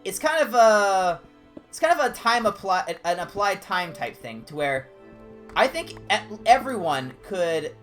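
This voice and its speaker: A man with a higher-pitched voice, chatting casually and discursively as a game streamer. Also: a little slow, faint music behind. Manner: animated, discursive, jumping between tangents. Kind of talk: casual rambling livestream chat during gameplay.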